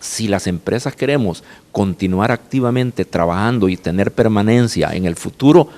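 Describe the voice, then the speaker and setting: A man's voice: on the low side, moderate pace, darkish tone. A man speaking as a business panelist, explaining his points to a forum. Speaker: panelist, company representative. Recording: long reverberant hall sound.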